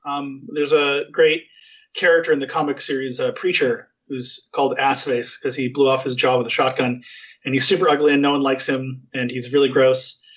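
A man's voice: medium pitch, level tone, moderate pace, fairly bright tone. A man hosting a podcast, chatting casually and rambling with lots of fillers and restarts. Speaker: podcast host. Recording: muffled lo-fi sound.

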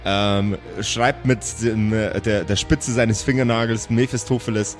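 A man improvising narration as game master of a tabletop audio play, halting and joking as he sets the scene. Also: fairly low pitch, steady tone, faint music behind.

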